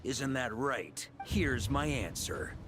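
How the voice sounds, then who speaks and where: A man voicing a bold, wry, noble knight in a video game, with expressive heroic delivery. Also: mid-range voice, takes it slowly. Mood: confident, wry. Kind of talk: English video game voice acting. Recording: game audio with music behind.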